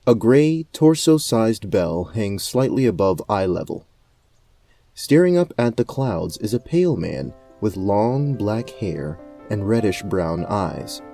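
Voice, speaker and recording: A man's voice: fairly low pitch, big pitch swings, takes it slowly, gravelly, dark tone, no room sound, soft background music. A man giving scripted narration for a fantasy audio drama. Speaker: narrator and game storyteller.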